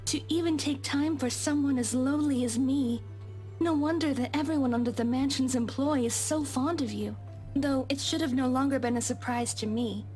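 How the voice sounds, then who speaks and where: Woman acting young servant girl, high voice, timid, formal archaic phrasing, game dialogue, soft music behind. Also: normal speed, close to an everyday voice. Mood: humble, admiring. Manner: formal, old-fashioned, hesitant. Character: meek, deferential young maid of a mansion. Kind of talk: visual novel game voice acting.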